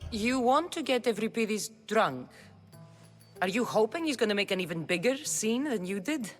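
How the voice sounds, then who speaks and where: A woman's voice: a little high, lively intonation, moderate pace, faint music behind, natural voice. An actress playing a tough female mercenary warrior in a game cutscene, blunt and dry in manner. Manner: dry, blunt, matter-of-fact. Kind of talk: video game cutscene acting.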